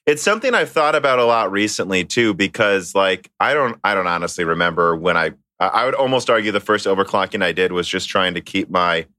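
A man hosting an interview, asking questions in a quick, chatty way and laughing partway through his sentences. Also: low voice, lively intonation, bright, forceful tone, no room sound. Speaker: interviewer, host. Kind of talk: casual interview.

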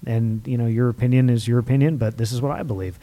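A man hosts a relaxed group talk, his voice mellow and warm. He restarts his sentences often, stumbling over his words. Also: medium pitch, fast. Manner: stammering restarts. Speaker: show host. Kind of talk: casual group chat.

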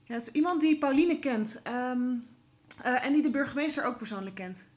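Young female reporter, slightly high voice, inquisitive, halting, persistent, naturalistic audio drama. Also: expressive. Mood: probing, puzzled. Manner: hesitant, probing, polite. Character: eager, inquisitive young woman reporter, hesitant but persistent.

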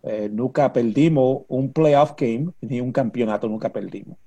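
An older man from Puerto Rico with a Puerto Rican Spanish accent, a retired police officer telling his story as an interview guest in a halting way, repeating words and using many fillers. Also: mid-range voice, warm tone, lo-fi sound. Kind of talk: casual interview chat.

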